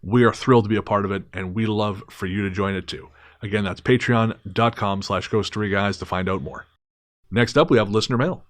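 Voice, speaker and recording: A man's voice: lowish voice, fairly even intonation, a little fast. A man of thirty-six hosting a podcast in a casual, chatty style, earnest with a wry joking streak. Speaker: podcast host.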